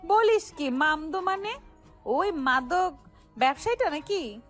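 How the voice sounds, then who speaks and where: A very high young female voice acted by a woman plays a cocky pickpocket boss. She is sassy and defiant, arguing in street slang. It is audio story drama with soft music underneath. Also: animated, takes it slowly, heavily acted. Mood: impressed, scornful. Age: young adult.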